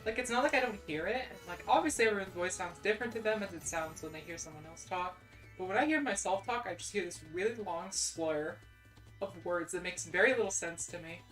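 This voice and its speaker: A woman's voice: lowish voice, brisk, soft background music. Rambling young Midwestern woman streamer. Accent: General American (Midwestern). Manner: rambling, many "like"s and restarts, self-deprecating. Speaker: VTuber streamer. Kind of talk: casual stream chat.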